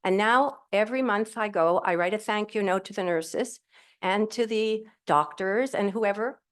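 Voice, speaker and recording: A middle-aged woman speaking as a panel guest at a live event, using a handheld mic. She tells her story in a cheerful, candid and rambling way. Her voice sits at a medium pitch. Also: normal speed. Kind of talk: telling her personal story. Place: event hall with audience. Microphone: handheld microphone.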